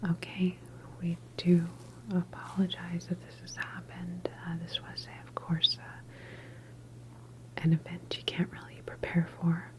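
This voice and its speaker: A woman whispering softly and breathily in an ASMR medical roleplay as a calm, reassuring doctor examining a patient. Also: on the low side, rough recording. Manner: gentle, unhurried, reassuring bedside manner. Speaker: ASMR performer playing a government facility doctor.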